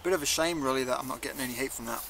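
Bright-voiced young man reviewing casually. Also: mid-range voice, rapid-fire, creaky, a little hiss or hum. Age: young adult. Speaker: chilli reviewer, video host. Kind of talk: casual product review to camera. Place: outdoors in a leafy garden.

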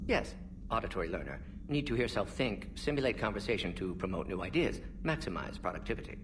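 An actor playing a brainy old alien scientist in a video game, brisk and analytical, speaking in clipped, telegraphic fragments. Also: male voice, fairly low pitch, sing-song, far from the mic, noisy background. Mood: absorbed, analytical.

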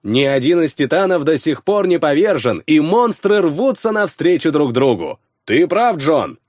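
Guy doing a hyped esports commentator, pitched way up, emphatic, dry audiobook sound. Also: big pitch swings, moderate pace, darkish tone, dry recording. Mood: excited, hyped. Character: excitable male esports match commentator. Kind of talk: audiobook character voice, game commentary.

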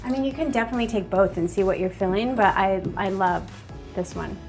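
A woman's voice: medium pitch, fairly quick, a little mellow, faint music behind. A woman stylist talking enthusiastically about outfits during a fitting in a studio. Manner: enthusiastic, upbeat. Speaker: fashion stylist. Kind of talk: casual chat.

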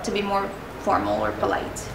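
A woman's voice: medium pitch, big pitch swings, moderate pace, vocal fry, reverberant, far from the mic, background noise. A young woman, Spanish her first language, chatting casually to camera as she teaches travel phrases, with frequent ums and restarts. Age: young adult. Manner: chatty, self-deprecating, many ums and restarts. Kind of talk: casual explanatory lesson to camera.

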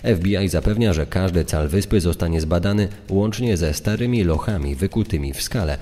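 A man's voice: deep voice, a little fast, dark tone. An actor playing a male announcer reading formal official reports on a crime. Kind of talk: formal scripted bulletin. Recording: long reverberant echo.

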